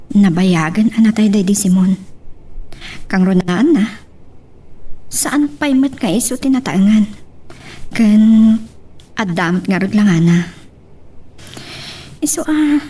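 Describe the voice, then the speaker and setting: An actress playing a worried older relative in a radio soap, fretful and musing, with a husky voice. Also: female voice, medium pitch, mellow. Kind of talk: radio soap drama acting.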